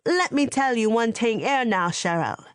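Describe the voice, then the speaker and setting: A stern old grandmother with a thick Jamaican accent lectures with firm authority, as a woman reads her part. The voice sits a little higher than the reader's own and carries dry and close, with no room around it. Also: animated, moderate pace, smooth, dry recording, natural voice. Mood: scolding. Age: older.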